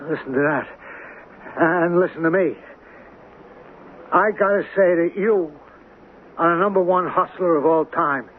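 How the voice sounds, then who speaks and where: Guy doing a wary plaintive racetrack hustler, high voice, slangy, old radio drama, tinny recording. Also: measured pace, long pauses, natural voice. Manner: streetwise slangy talk. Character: small-time racetrack hustler, wary, plaintive, streetwise man. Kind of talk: 1970s radio drama acting. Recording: tinny, noisy 1970s radio broadcast.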